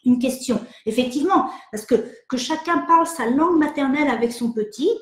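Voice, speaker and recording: A woman psychologist from France hosting an online session, answering viewer questions in a hesitant, gentle way with many fillers and restarts. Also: high voice, fairly quick, reverberant. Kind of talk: live webinar, reading and answering viewer questions.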